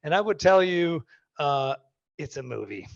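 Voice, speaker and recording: A man's voice: a little high, expressive, unhurried, vocal fry, no room sound, muffled recording. Chatty man. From Maine, US. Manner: conversational, many restarts and fillers. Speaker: guest speaker. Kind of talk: answering audience questions.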